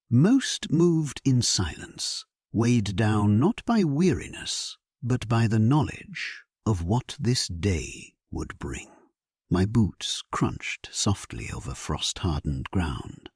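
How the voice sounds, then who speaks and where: Sleep-story narrator: male actor as resolute, solemn king, low and breathy, unhurried, very dry close sound. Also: no room sound, exaggerated voice. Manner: solemn, measured, dramatic delivery. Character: grave, resolute English king at war, narrating his memoir. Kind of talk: scripted first-person sleep-story narration.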